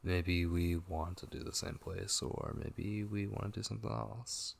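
Man acting husky, low-voiced tender boyfriend. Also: a little slow, exaggerated voice. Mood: casual, attentive. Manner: soft, hesitant, intimate, with murmured asides. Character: tender, devoted gamer boyfriend, young man, affectionate and reassuring. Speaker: ASMR voice actor. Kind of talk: boyfriend ASMR roleplay, soft intimate acting.

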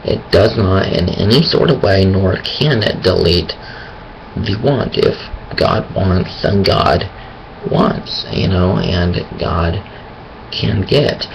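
A man talking about his faith in a hesitant, thinking-aloud way, with a raspy voice and many pauses and restarts. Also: low-pitched, slight background noise, poor audio. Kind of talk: casual monologue on faith.

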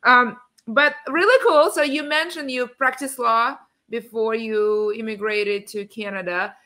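An effusive middle-aged woman hosts a casual interview over a video call. Her voice is bright and forward, and she gushes with enthusiasm as she welcomes her guest and asks questions from a room at home. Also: a little high, a little slow. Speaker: podcast host. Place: home room with windows, indoors.

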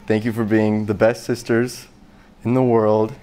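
Young male officiant, lowish voice, sincere and measured, faint room noise behind. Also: little pitch movement, warm tone. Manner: sincere, measured, earnest delivery. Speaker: wedding officiant, brother of a bride. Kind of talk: heartfelt officiant speech. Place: large open barn venue, indoors.

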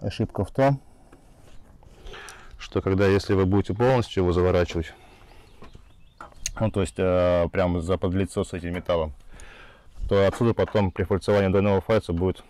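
A man in his forties calmly explaining his work as a roofing craftsman, thinking aloud while demonstrating by hand outdoors. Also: low-pitched, a little fast, pauses often. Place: outdoors in a sunny yard beside a house wall.

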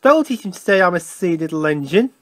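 A cheeky little storybook engine, acted out by a man, pretends to be a ghost. The voice is high, swooping and spooky, drawn out into wails and shrieks. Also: big pitch swings, fast, a bit bright, no room sound, clean studio sound. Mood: mischievous. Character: cheeky young male tank engine playing spooky ghost.

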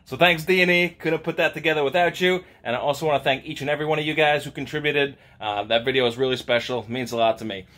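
An upbeat man in his thirties talks excitedly about his finds, chatty and quick to laugh. He speaks in a home garage workshop into a camera held at arm's length. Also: fairly high pitch. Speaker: channel host. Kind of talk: casual car-parts vlog. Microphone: handheld camera at arm's length.

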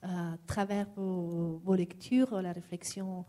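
A woman's voice: fairly low pitch, steady tone, takes it slowly, warm tone. An Italian woman with an Italian accent puts a long question at an academic panel, searching for words and repeating them as she goes. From Italy. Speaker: audience member asking a question. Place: lecture hall at a public panel.

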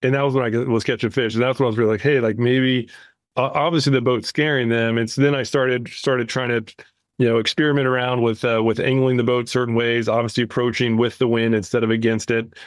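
Mid-pitched man, halting casual podcast talk. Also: flat delivery, no echo, studio quality. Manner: halting, repeats words as he explains. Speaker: podcast co-host.

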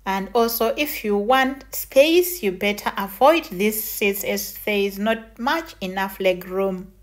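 A middle-aged woman narrating a travel vlog in a measured, matter-of-fact way. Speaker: travel vlogger. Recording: noisy, with vehicle and station rumble.

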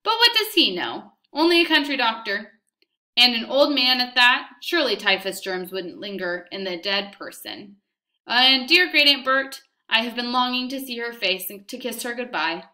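A woman voicing a calm, quick-witted mother in a read-aloud story, turning falsely cheerful and high. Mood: mock-sweet.

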